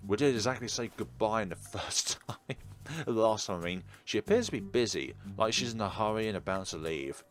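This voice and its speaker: A man's voice: on the low side, animated, fairly quick, soft background music. A man hosting a let's play, reading game narration aloud and adding teasing, argumentative asides.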